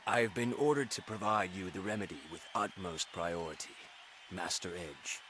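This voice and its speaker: Low-voiced noble knight, stately, archaic, forceful, fantasy game drama, background ambience. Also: male voice, moderate pace, a little hiss or hum, poor audio. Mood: solemn respect. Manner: stately, formal, archaic phrasing. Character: proud, chivalrous knight in armour, praising a hero. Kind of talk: formal fantasy game dialogue.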